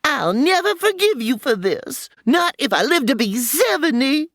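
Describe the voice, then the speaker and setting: A woman's voice: high voice, big pitch swings, measured pace, a bit bright, no room sound, clean studio sound, full character voice. A woman playing a folksy older woman who runs a rooming house, talking in a drawl, in a comedy audio drama. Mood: scolding.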